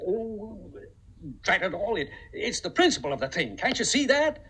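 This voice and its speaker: An actor playing a fussy, middle-aged senior partner in a 1950s radio comedy, formal and hesitant, with a husky voice. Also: male voice, sits high, very animated, moderate pace, sharp tone, heavily acted, low-quality recording. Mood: exasperated, pompous. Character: fussy, pompous senior male business partner, easily flustered. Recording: vintage 1950 radio broadcast.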